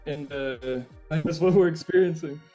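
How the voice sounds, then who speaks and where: A man being interviewed as a musician, chatting casually and reflectively, often halting as he searches for words. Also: fairly high pitch, dark tone, soft background music.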